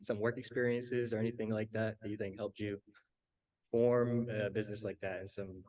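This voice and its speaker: A man's voice: on the low side, little pitch movement, moderate pace, a little mellow. A young man, a student, casually asking a question from the audience. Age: young adult.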